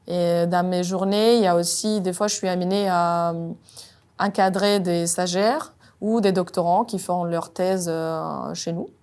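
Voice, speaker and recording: A woman, speaking French as a robotics engineer and pausing often on "euh". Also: lowish voice, steady tone, a little mellow. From Colombia. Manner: measured, frequent "euh" hesitations. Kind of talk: job interview-style monologue.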